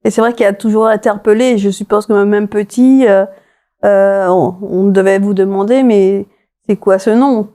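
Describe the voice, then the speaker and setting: A woman's voice: medium pitch, fairly even intonation, moderate pace, dark tone. A woman hosting a television interview, asking her guest questions in a conversational way. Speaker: interview show host.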